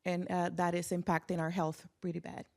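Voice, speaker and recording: A woman with a fairly low voice gives testimony on a panel. Her intonation stays flat and even, and her delivery is plain and halting. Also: vocal fry. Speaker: panelist, community health worker.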